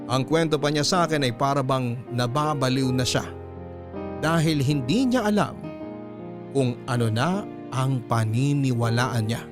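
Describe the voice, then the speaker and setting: An actor playing a young male vlogger, narrating his story in a measured Filipino radio drama style. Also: medium pitch, natural voice.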